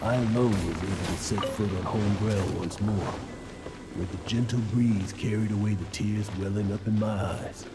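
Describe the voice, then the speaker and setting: An actor playing a boastful, self-styled legendary adventurer in a video game, with a pompous, theatrical way of talking. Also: male voice, fairly low pitch, fairly even intonation, moderate pace, natural voice. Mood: solemn. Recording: game music over the voice.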